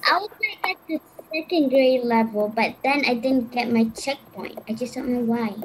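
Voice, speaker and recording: High-voiced excitable young woman. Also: normal speed. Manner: excitable, exclaiming at the game. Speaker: friend playing along. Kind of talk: casual gameplay chat. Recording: noisy call audio.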